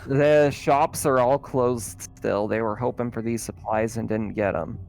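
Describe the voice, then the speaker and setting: A man running a tabletop roleplaying game as game master, narrating in a conversational, halting way. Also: mid-range voice, steady tone, creaky, a little mellow, slight background noise. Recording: music playing behind the voice. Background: background music.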